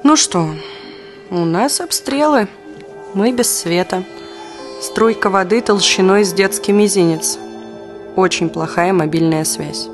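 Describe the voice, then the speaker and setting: A woman's voice: mid-range voice, a little slow, pauses often, music in the background, lightly acted. An actress playing an anxious, reflective young woman in a diary-like audio play monologue. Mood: matter-of-fact. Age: young adult. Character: young Kyiv woman, anxious and reflective, living through wartime blackouts.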